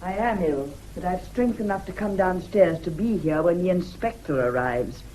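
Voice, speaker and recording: A woman's voice: lowish voice, lively intonation, normal speed, slight background noise, close to an everyday voice, poor audio. A woman playing a resolute, ailing lady who speaks insistently and accusingly in a period mystery radio play. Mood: cold, accusing. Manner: forceful, insistent, clipped.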